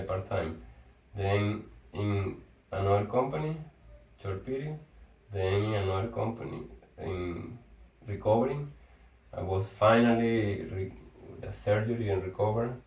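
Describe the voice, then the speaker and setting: A man's voice: fairly low pitch, dull audio. Venezuelan man chatting, far off and echoey. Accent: Venezuelan Spanish. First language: Spanish. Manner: halting, restarts words, searches for English phrasing. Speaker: engineer. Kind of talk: casual conversation. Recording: echoey room, far from the mic.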